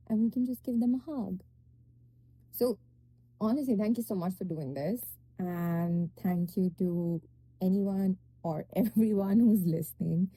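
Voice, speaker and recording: A woman's voice: mid-range voice, warm tone, dry recording, poor audio. A woman hosting a podcast panel, speaking in an informal, conversational and reflective way. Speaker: podcast host.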